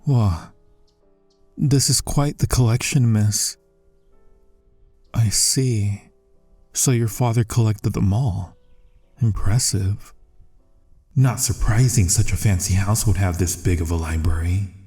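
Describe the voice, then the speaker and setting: A man acts out a secret assassin undercover as a courteous bodyguard for an audio roleplay. His voice is soft, dark and mellow, sitting fairly low. He speaks with dry, restrained exasperation beneath his good manners. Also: fairly even intonation, slow, long pauses, faint music behind. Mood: polite, hesitant, then cool, calculating. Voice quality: soft, gentle voice. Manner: restrained, dry, inner monologue and courteous address. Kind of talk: ASMR roleplay audio acting.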